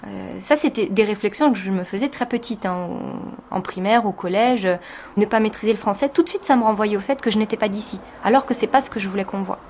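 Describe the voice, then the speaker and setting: A woman's voice: medium pitch, fast, darkish tone, slight background noise. A woman from France, a teacher, giving a reflective personal testimony in an interview, speaking thoughtfully with many hesitations.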